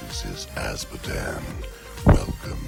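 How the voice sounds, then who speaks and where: A menacing demon, announcing a show intro. The voice is a very deep growl, heavy with gravel. Loud electronic music plays under it. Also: male voice, slow, exaggerated voice.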